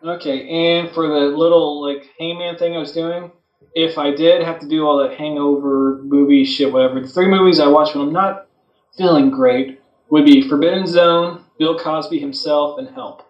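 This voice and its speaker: A man's voice: on the high side, normal speed, lo-fi sound. A man casually bantering as a podcast co-host, swearing freely and telling crude, joking stories. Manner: crude, joking, animated storytelling with acted quotes. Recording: roomy, echoing sound, off the mic.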